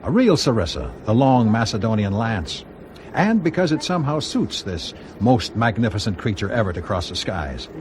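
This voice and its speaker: An actor playing a proud aircraft company executive in a radio drama, speaking in a formal, rhetorical and insistent way. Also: male voice, on the low side, very animated, normal speed, noisy background. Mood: boastful. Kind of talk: BBC radio drama acting.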